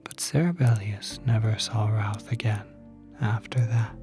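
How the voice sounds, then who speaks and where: Slow, mellow, whispery male narrator. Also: fairly low pitch, faint music behind. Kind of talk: scripted story epilogue narration.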